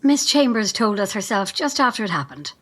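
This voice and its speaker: A woman's voice: medium pitch, very animated, brisk, a bit bright. A woman playing a cold, officious gatekeeper in an audio drama, formal, curt and dismissive in her delivery. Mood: coldly dismissive. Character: icy, officious female gatekeeper of a secretive compound. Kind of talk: naturalistic audio drama acting.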